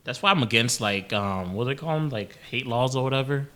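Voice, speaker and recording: Bright-voiced man telling rambling stories. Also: medium pitch, lively intonation, a little fast. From Haiti. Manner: rambling storytelling with many fillers and restarts. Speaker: podcast host. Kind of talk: casual podcast chat.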